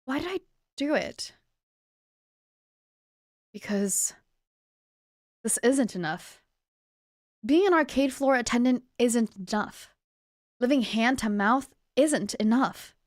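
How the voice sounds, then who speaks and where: A woman's voice: on the high side, lively intonation, slow pace, long pauses, no echo, studio quality. A woman playing an ambitious young arcade attendant in a visual novel, earnest and declamatory. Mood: self-doubting. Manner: theatrical, declamatory.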